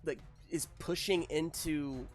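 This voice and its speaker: A man narrating a tabletop superhero game as game master in a casual, halting way, often searching for his words. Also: fairly high pitch, faint music behind.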